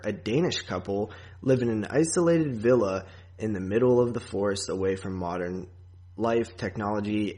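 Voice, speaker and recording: A man co-hosting a podcast, talking casually about films in a rambling, halting way. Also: fairly low pitch, a little mellow. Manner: rambling, halting, filler-heavy. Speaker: podcast co-host. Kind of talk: casual movie discussion.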